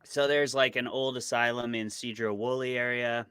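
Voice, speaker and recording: A man's voice: mid-range voice, fairly even intonation, normal speed, fairly bright tone, clean tone, no room sound, clean studio sound. A man from Montana with an American accent, chatting casually and with self-deprecating humor as an interview guest. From small town in Montana, United States. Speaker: interview guest, horror writer. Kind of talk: casual conversational interview answers.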